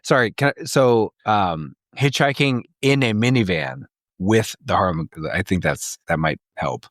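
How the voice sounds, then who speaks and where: A man co-hosting a comedy podcast in excitable, casual banter, easily breaking into laughter as he talks. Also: fairly low pitch, unhurried, no room sound, studio quality. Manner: laughs readily, breaking up mid-sentence.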